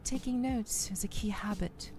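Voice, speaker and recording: A woman voicing a composed, caring, observant female character from a story game, in a measured, gently probing way. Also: medium pitch, voice pushed up, lightly acted. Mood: composed, helpful. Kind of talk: voiced reading of game dialogue. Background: music.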